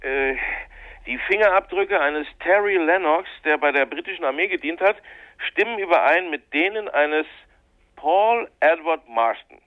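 An actor playing a brisk, matter-of-fact newspaper reporter in a radio crime drama, heard through a telephone filter. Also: male voice, fairly high pitch, animated, dry recording. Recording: tinny, dry phone line.

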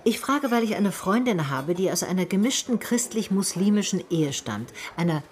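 An actress playing a calm, probing female private detective who asks brief questions and narrates in a radio drama. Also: medium pitch, moderate pace, lightly acted. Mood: curious, concerned. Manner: listens with short hums, asks brief questions. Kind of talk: radio drama dialogue and first-person narration.

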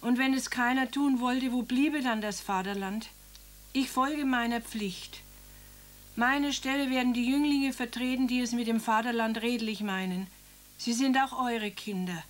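A woman's voice: on the high side, fairly even intonation, measured pace, natural voice. An actress playing an elderly grieving mother who reads her son's letter and then talks about him in homely, rambling speech. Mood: sorrowful. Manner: plain homely speech with tag questions. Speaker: mother of Karl. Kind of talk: radio drama acting.

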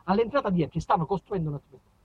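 A man's voice: high-pitched, animated, very fast, breathy edge, highs cut off. A man delivering a theatrical storytelling monologue, emphatic and declamatory, repeating phrases for effect. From southern Italy. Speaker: actor-storyteller.

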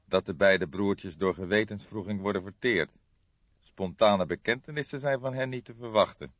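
A shrewd, grey-haired old detective, played by a male audiobook reader, calm and deliberate. The voice is very low and measured, recorded close and dry with slightly dulled sound. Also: no echo, muffled recording. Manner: measured, deliberate, soft-spoken.

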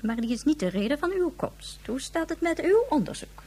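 A woman's voice: high voice, sing-song, fairly quick, darkish tone. A woman playing a stern, haughty head of government, formal and disapproving, in a science fiction radio play. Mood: formal, critical.

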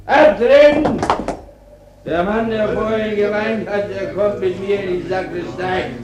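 An actor playing a drunken army chaplain in a radio play, rambling and abusive, swinging between barked orders and maudlin piety. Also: male voice, high-pitched, a little slow, a crowd in the background. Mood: scolding. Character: drunken, bullying army chaplain preaching to prisoners. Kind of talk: German radio play sermon. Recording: echoey chapel-like room.

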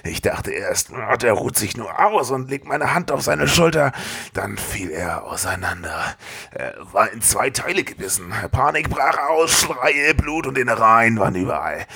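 A man acts out a big, grizzled monster survivor for a trashy radio play. His voice is husky and creaky. He rambles through his story with heavy drama. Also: mid-range voice, lively intonation, measured pace, pushed and bright, heavily acted. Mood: grim, dramatic. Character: big, rambling shark survivor in a top hat.

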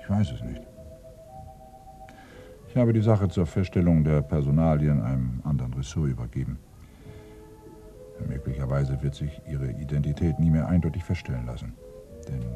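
An actor playing a brooding, irritable senior police inspector in a radio crime play, ponderous and commanding with sudden emphasis. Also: male voice, rumbling low, normal speed, long pauses, dark tone, soft background music. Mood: subdued, pensive. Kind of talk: German radio play acting.

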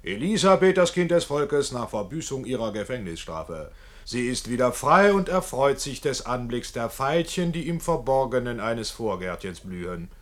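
A man's voice: mid-range voice, very animated, normal speed, natural voice. Actor as declaiming fairground ballad showman. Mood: declamatory. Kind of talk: theatrical scripted narration in radio play.

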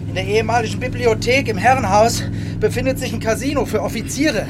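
A young man playing a quick-witted soldier who drives supplies, speaking drily in a radio drama. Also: very high voice. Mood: matter-of-fact. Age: young adult. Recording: loud vehicle noise.

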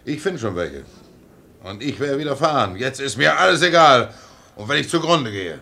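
An actor playing a stubborn, disgruntled tram driver in jail in a radio play, talking bluntly and broodingly. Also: male voice, mid-range voice, expressive, normal speed, vocal fry, bright, forceful tone. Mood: defiant. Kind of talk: German radio play acting.

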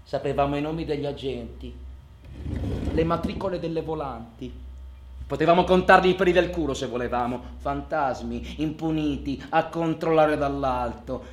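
An actor playing a bitter, boastful bank robber and failed mechanic in a radio drama monologue, with a sardonic, volatile delivery. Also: male voice, a little high, moderate pace, close to an everyday voice. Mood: boastful menace. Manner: volatile, sardonic, colloquial, swinging from muttering to outbursts. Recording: roomy, echoing sound with faint noise.

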